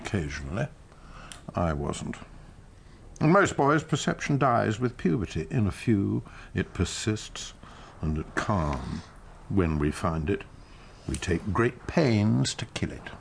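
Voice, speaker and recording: A weary, cynical English schoolmaster of many years' service. His voice sits low and he delivers bitter wit in a measured, sardonic manner. It is a radio drama recording with faint background noise. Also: big pitch swings, gravelly, exaggerated voice. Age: older.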